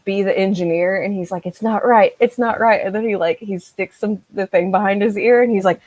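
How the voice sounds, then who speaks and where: A woman around her thirties chatting casually on a video call, acting out her reactions and quotes in an animated way. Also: medium pitch, brisk, dry recording. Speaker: co-host of a book discussion. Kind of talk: casual chat. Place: small room at home, on a video call.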